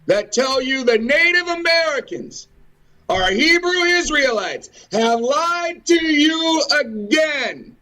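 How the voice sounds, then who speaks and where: Male commentary host, high register, slow delivery, impassioned and sweary, given to repetitive rhetorical rants. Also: fairly bright tone. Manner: ranting, repetitive, rhetorical questions, cursing. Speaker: host of a religious and historical commentary show.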